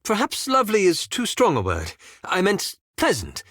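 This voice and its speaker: An actor playing a reserved, fastidious wizard in a video game, with formal, dryly sarcastic and understated phrasing. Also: male voice, sits high, wildly swooping pitch, a bit bright, dry recording, clean studio sound, full character voice. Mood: dry, wry. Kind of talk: scripted video game character dialogue.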